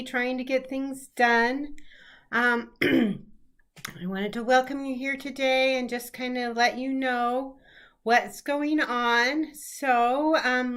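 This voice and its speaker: A middle-aged woman hosting a casual live-stream chat as a quilting teacher, a little hoarse from a cold, chatty with lots of ums and throat clearing. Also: high-pitched, fairly bright tone. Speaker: quilting teacher and show host. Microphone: computer setup, live stream.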